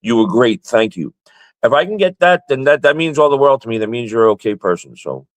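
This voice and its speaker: A man with a stutter talks bluntly and swears freely, often repeating words as he starts. His voice is mid-pitched and dry, with little room sound around it. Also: animated, fairly quick, no room sound, poor audio. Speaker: guest. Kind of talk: casual chat.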